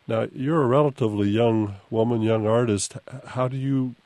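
A man hosting a radio interview in a studio, courteous and relaxed, with frequent fillers. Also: mid-range voice, moderate pace, no room sound. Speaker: radio host.

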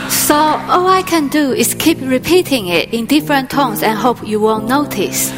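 A woman speaking as an onstage translator, giving a rehearsed talk in a measured way. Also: high-pitched, loud music behind the voice. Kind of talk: scripted stage talk.

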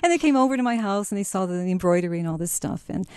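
A woman's voice: medium pitch, expressive, racing, a little mellow, clean tone, no echo, clean studio sound. A woman from Chicago, a novelist, telling her story in a reflective, roundabout way in a radio interview. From United States (Chicago). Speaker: novelist guest.

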